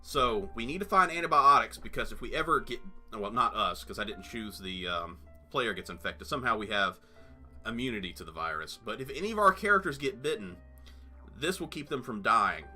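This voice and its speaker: A man's voice: lowish voice, lively intonation, brisk, bright, forceful tone, faint music behind. A man hosting a let's play, talking in a rambling, chatty way full of fillers and asides. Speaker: gaming channel host.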